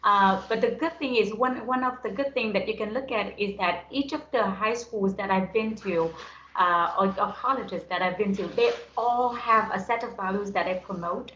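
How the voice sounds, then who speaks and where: A woman's voice: medium pitch, moderate pace, a bit bright, a little hiss or hum. Young woman, native Vietnamese speaker, conversational guest talk. Roomy, slightly dull video-call sound. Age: young adult. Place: indoors on a group video call.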